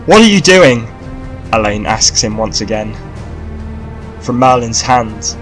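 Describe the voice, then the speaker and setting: A man's voice: fairly low pitch, fairly bright tone. A man narrating a scripted fantasy audiobook in a dramatic storytelling style.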